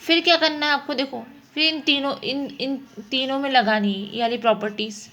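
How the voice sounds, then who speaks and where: A woman's voice: high voice, fairly even intonation, a little fast, bright, forceful tone. A woman teaching a mathematics lesson, explaining step by step and often answering her own questions. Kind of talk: informal spoken lesson, working through problems.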